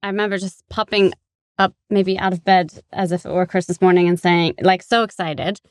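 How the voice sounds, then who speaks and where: Woman podcast guest, lower pitch, cheerful and excitable, dry recording. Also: no room sound. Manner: bubbly, quick to laugh. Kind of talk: casual conversation.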